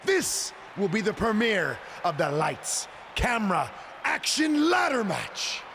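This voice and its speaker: A man's voice: high-pitched, big pitch swings, slow, creaky, full character voice. A man playing a showboating wrestler in a video game, delivering a grandiose, theatrical promo with noise in the background. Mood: grand hype. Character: showboating male wrestler pitching matches like movie blockbusters.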